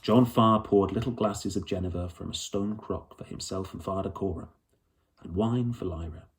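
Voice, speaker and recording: A man's voice: low-pitched, normal speed. A man reading a children's novel aloud as its narrator. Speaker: audiobook reader.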